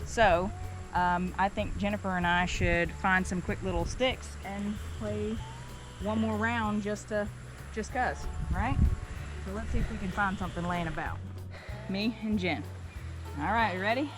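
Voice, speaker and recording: A woman with a medium-pitched voice talks playfully outdoors on a casual home video. Music plays clearly behind her chatty speech. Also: steady tone, a little slow, poor audio. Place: outdoors on a bridge.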